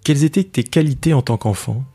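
A man's voice: medium pitch, fast, warm tone, no room sound. Polished male podcast host. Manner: clear, polished presenter delivery. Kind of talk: scripted intro, then interviewing.

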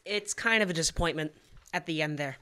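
A boastful big brother in an old-time radio comedy, played by a teenage male. The youthful voice is bright and sharp, quick to put down a younger sibling. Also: fairly high pitch. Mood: defensive. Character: know-it-all older brother, boastful and easily annoyed. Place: school library. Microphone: standing stage microphone.

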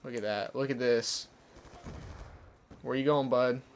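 A man's voice: fairly even intonation, measured pace, a little hiss or hum. A young man giving casual, chatty commentary over his gameplay, explaining tips as he plays. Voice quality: deeper voice. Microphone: desk condenser mic. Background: soft background music.

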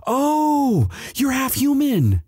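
A man's voice: very high-pitched, huge pitch swings, drawn-out words, warm tone, slightly rough, dry recording, studio quality, heavily acted. A man playing a sweet, protective young demon university student in an intimate audio roleplay addressed to the listener. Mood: flustered, smitten. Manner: hesitant stammering when shy, firm when angry.

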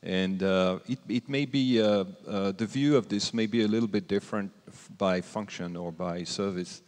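Male panelist, very deep, hesitant delivery, reverberant hall. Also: moderate pace, a little mellow. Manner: hesitant, many fillers. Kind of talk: conference panel discussion. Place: large hall stage.

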